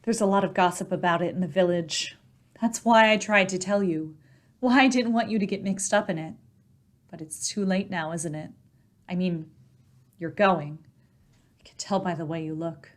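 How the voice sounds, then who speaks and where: A woman's voice: medium pitch, lightly acted. A woman playing a devoted, worried wife in old-time radio drama, earnest and resigned.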